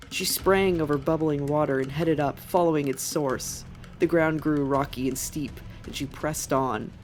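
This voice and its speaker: A woman reading scripted fiction as a dramatic narrator. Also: sits low. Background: music.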